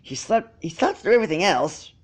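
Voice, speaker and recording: A man's voice: high voice, sing-song, fairly quick, breathy edge, voice pushed up, exaggerated voice. A man voicing a brash, defensive young pony filly in an amateur story reading. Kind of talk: amateur fan fiction reading.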